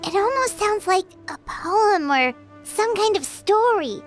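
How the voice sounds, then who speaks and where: A woman's voice: expressive, a little slow, faint music behind. A woman voicing a small, chirpy, curious girl companion in a video game. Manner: high, bouncy, inquisitive.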